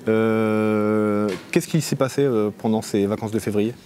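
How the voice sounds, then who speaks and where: A man's voice: on the low side, fairly even intonation, measured pace, a little mellow. A man presenting a local programme as host and reporter in an unscripted, somewhat hesitant way. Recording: crowd chatter and background noise.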